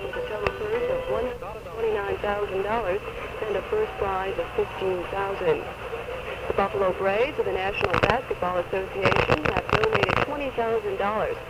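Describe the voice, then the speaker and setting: A man reading scripted sports news, captured on a 1970s AM radio recording. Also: sits high. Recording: very noisy 1970s AM radio taping.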